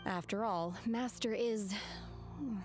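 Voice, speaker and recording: A woman playing a reserved, solemn young woman in a video game, speaking in a calm, measured, formal way. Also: mid-range voice, over music, natural voice.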